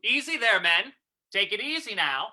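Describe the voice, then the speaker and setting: A man playing a gruff, fussing police commissioner in an old-time radio reading. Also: on the high side, lively intonation, normal speed, pushed and bright, rough recording. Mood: commanding.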